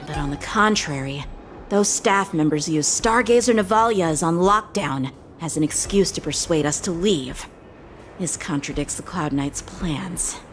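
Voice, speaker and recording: A woman playing a calm, perceptive female investigator in scripted video game dialogue. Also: low-pitched, measured pace, slight background noise. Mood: curious, analytical.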